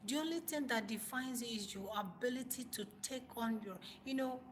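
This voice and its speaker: A woman's voice: on the high side, normal speed, slight background noise. A woman with a Nigerian accent hosting an informal motivational livestream, speaking emphatically and repeating phrases for stress. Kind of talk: informal motivational talk to live viewers.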